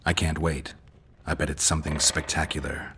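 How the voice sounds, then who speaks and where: A gruff monster hunter from a video game, with a very low, gravelly voice. He talks in short, deadpan, dry-witted lines. Faint ambient noise sits behind him. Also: moderate pace. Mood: dry, wry. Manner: laconic, deadpan.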